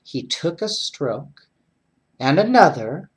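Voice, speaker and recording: A bedtime story reader narrating in an animated storybook style, with chatty asides to children. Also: mid-range voice, slow, husky.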